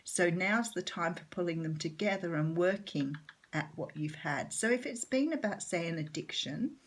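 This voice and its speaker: An Australian woman with a croaky voice, calmly explaining tarot cards in a solo reading with frequent ums. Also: on the low side, normal speed. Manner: gentle, reflective, frequent ums. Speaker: tarot reader.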